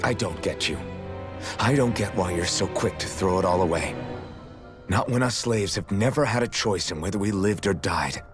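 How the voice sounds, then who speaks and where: An actor playing an earnest, questioning young slave fighter in a video game cutscene. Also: male voice, low-pitched, animated, normal speed. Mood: frustrated. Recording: music behind.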